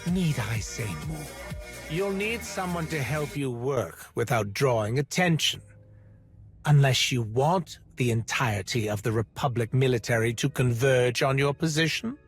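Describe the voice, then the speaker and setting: An actor playing a haughty, disdainful Imperial operative in a video game, condescending and pompous with precise wording. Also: male voice, medium pitch, animated, unhurried, slightly rough, soft background music. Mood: sardonic.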